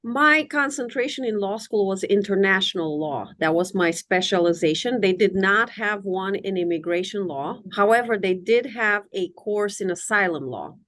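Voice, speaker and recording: A middle-aged woman from Romania, an immigration attorney answering interview questions in a measured, articulate, formal way. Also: medium pitch, lively intonation, a bit bright.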